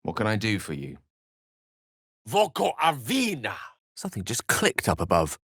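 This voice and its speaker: A man's voice: lowish voice, wildly swooping pitch, moderate pace, fairly bright tone, husky, no room sound, studio quality, exaggerated voice. A man voicing an articulate, bookish human wizard in a video game, wry and gallant, with theatrical, wordy delivery. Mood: forceful, rousing. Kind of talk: video game character acting.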